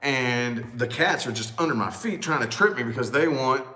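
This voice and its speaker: A man with a loud, booming voice, talking in a casual, animated chat with exclamations and swearing. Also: moderate pace. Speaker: vlog co-host. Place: large echoey house. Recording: echoey room.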